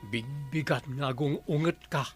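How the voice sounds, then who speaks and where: A man's voice: mid-range voice, normal speed, faint music behind, lightly acted. A man playing a teasing, reasonable husband who chides his wife in an Ilocano radio comedy drama, over car sound effects. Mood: chiding, reasoning.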